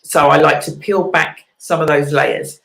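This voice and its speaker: A woman's voice: low voice, fairly even intonation, measured pace, creaky, fairly bright tone. A woman hosting a casual chat show, chatty and prone to repeating her words. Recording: echoey room.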